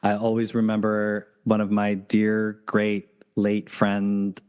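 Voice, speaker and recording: A man's voice: lowish voice, completely flat delivery, takes it slowly, darkish tone, clear, resonant tone, muffled recording. A man hosting a podcast, chatting casually. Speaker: podcast host. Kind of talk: casual chat.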